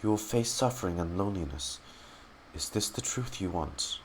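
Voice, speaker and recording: A man who streams games, reading in-game text aloud dramatically and haltingly and muttering his reactions. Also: low-pitched, expressive, slight background noise, low-quality recording.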